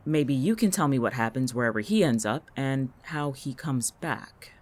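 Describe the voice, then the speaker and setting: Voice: mid-range voice, animated, normal speed, close to an everyday voice. An actor in an audio drama playing an irritable, sardonic, coolly calculating town resident with a dry, biting wit. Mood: probing, cold. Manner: biting, sarcastic, dry-witted.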